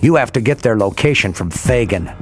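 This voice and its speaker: An actor playing a cold, authoritative intelligence director, speaking in a measured, formal way in a video game cutscene. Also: male voice, fairly low pitch, lively intonation, lightly acted. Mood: grave, measured. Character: cold, authoritative male intelligence agency director giving briefings. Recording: game audio with music underneath.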